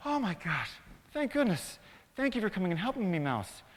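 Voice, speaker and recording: A big, proud storybook lion played by a man, grumpy and pompous as king of the jungle. His delivery swings widely in pitch and echoes through a large hall. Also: sing-song, measured pace, fairly bright tone. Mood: grateful. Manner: pompous, blustering. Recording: echoey hall with long reverb.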